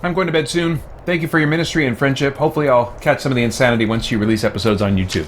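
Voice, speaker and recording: A man's voice: medium pitch, fairly even intonation, rapid-fire, a little hiss or hum. A man chatting casually as he livestreams a video game, speaking in a thoughtful, conversational way with hesitant phrasing. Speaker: live streamer playing a video game.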